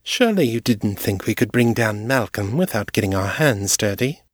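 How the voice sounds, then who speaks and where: A man's voice: fairly low pitch, lively intonation, normal speed, no echo, clean studio sound, pitched above the performer's own voice. A man voicing a haughty, aristocratic female vampire who speaks in a polished, condescending way. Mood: measured, cool. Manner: polished, formal, patronizing.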